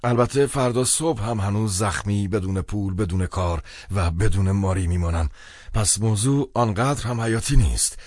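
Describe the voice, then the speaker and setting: Male reader doing a broke pushy guy on the phone, husky, pitched up, wry and insistent. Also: a little mellow, dry recording, lightly acted. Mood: pleading. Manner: insistent, exclamatory, wryly mocking. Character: hard-up, pushy, sardonic man pleading with his brother by phone.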